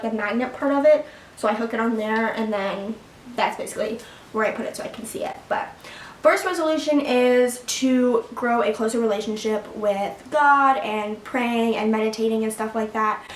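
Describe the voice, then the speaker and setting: A woman's voice: fairly high pitch, far from the mic, slight background noise. A seventeen-year-old girl chatting casually to her viewers at home, rambling with lots of fillers and little laughs. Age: teens. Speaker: vlogger. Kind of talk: casual sit-down chat to camera. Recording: echoey room, mic set back.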